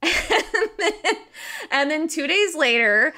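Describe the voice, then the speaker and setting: Bright-voiced American woman telling stories casually. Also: high voice, takes it slowly. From rural Missouri, United States. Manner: informal, animated, frequent "like", sweary. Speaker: podcast guest. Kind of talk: casual conversational storytelling and explaining.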